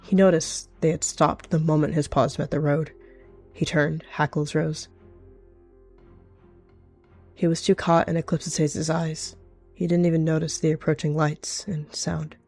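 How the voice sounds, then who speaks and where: Female narrator for dramatic animal roleplay tale, low register, soft music bed. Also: little pitch movement, unhurried, pauses often.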